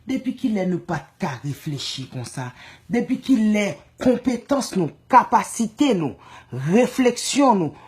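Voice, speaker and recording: A woman with a Haitian accent and a somewhat low voice speaks with fervor. She stacks repeated phrases into long lists and mixes Creole words into her talk. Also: a little mellow. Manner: fervent, repetitive listing, mixing in Creole words. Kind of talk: impassioned political talk.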